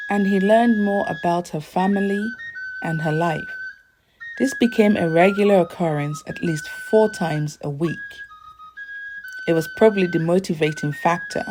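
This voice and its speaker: A woman reading a scripted folktale as a storyteller, in a measured storybook delivery. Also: fairly low pitch, rough recording.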